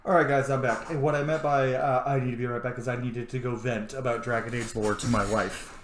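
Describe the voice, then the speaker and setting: A man's voice: medium pitch, flat delivery, fairly quick, a bit bright. Man reacting in an echoey room. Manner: exclamatory, sweary. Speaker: let's play host. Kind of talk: casual gameplay reaction commentary. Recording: roomy echo, clear noise.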